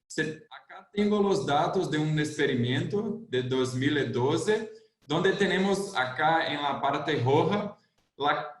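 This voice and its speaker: A man's voice: fairly high pitch, reverberant. A man from Brazil speaking Spanish with a Brazilian Portuguese accent, explaining livestock topics in a webinar presentation. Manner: plain explanatory delivery with frequent "entonces".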